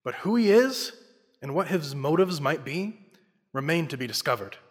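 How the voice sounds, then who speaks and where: A man's voice: on the high side, lively intonation, normal speed, husky. A man playing a bold, suave crime-fighting investigator in an old-time radio drama, speaking with theatrical confidence. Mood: matter-of-fact. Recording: long reverb with faint music.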